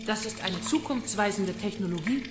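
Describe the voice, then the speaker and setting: A woman's voice: mid-range voice, moderate pace, close to an everyday voice. An actress playing a cold, mocking female kidnapper in a radio crime drama, taunting with cool control. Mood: taunting, controlled. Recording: echoey pool-like room, music behind.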